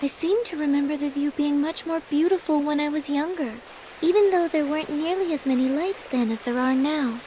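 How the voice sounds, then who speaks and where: An actress playing a gentle, wistful, polite young girl in a game cutscene, thoughtful in manner. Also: high voice, fairly quick, background noise, lightly acted. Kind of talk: video game cutscene acting.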